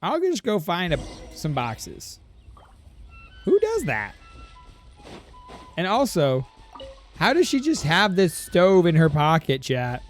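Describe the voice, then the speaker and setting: Casual streamer, mid pitch, lively swings, rambling playful reactions, game music behind. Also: slow pace, pauses often.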